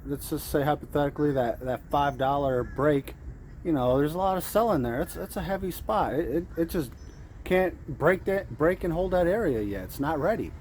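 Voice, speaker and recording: A man's voice: on the high side, expressive, normal speed, a little mellow, a little hiss or hum. A man giving an informal, off-the-cuff motivational talk as a trading coach, repeating phrases for emphasis. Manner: acts out traders' thoughts, repeats phrases for emphasis. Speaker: day trader giving trading tips. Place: outdoors in the heat.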